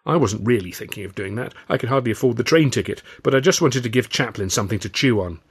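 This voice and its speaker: A man's voice: medium pitch, lively intonation, fast, husky. A man reading a scripted first-person story in a wry, literary manner. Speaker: author and audiobook narrator.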